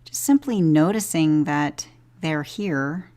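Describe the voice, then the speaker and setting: Very slow, low-pitched woman guiding meditation. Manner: gentle, unhurried instruction with long pauses. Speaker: meditation teacher leading a body scan. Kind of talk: guided meditation.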